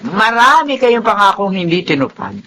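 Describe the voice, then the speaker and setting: An actress playing a feisty, doting elderly grandparent in a Tagalog radio drama, with drawn-out, exclamatory delivery. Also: female voice, medium pitch, sing-song, a crowd in the background, close to an everyday voice. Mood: teasing, scolding.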